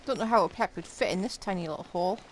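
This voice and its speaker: A woman's voice: on the low side, rapid-fire, noisy background. A woman game streamer giving casual live commentary, talking to herself in short reactions. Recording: game music behind the voice. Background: game music.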